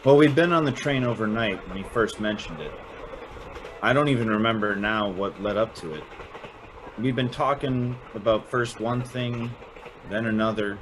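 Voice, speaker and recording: A man's voice: on the low side, normal speed, fairly bright tone, lightly acted. Man playing a naive, eager young fellow, noisy line. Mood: earnest, chatty. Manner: folksy, chatty, hesitant narrating and exclaiming. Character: eager, naive young Southern prep school man, impressed by wealth. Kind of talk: old-time radio drama acting.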